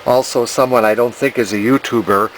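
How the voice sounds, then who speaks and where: A man giving an informal, halting hands-on repair demonstration in an indoor workshop, explaining as he goes. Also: medium pitch, fairly even intonation, a little hiss or hum, low-quality recording. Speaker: amateur vintage TV restorer.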